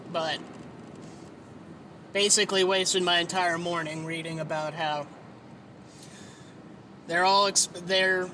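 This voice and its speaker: A man's voice: sits high, bright, forceful tone, a little hiss or hum. Nasal, whiny-voiced man rambling. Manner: rambling, self-deprecating, swears freely. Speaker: podcast host. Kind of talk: casual solo podcast monologue.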